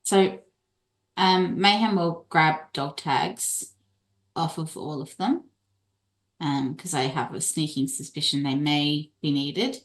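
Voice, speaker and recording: A woman's voice: low-pitched, takes it slowly. A woman chatting casually as a player in a tabletop roleplaying game, saying what her character does.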